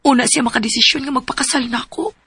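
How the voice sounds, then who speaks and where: An actress playing an anxious, distrustful young woman in a Filipino radio drama, with a Cebuano accent and a husky, creaky voice. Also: high voice, fairly even intonation, racing, a bit bright, heavily acted. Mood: worried. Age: young adult. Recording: music bed under the voice.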